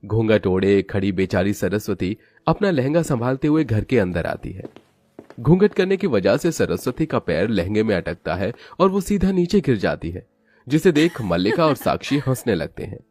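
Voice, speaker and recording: A man's voice: lowish voice, big pitch swings, normal speed, a little mellow, dry recording. A man narrating a cartoon moral story from a script.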